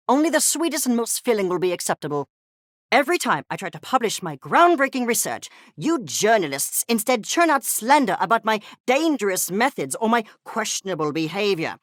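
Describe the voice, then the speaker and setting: Haughty, swooping creature scientist. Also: female voice, on the high side, no echo, studio quality. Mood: brisk, imperious, then disdainful, irritated. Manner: precise, haughty, clipped academic diction. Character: pompous, obsessive fuzzy creature scientist, coldly curious and disdainful. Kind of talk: video game character voice lines.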